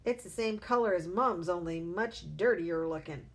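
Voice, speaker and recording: A woman's voice: on the low side, moderate pace. A woman voicing a blunt, cheeky young boy in a storybook reading. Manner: blunt, shouting. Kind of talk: read-aloud storybook acting.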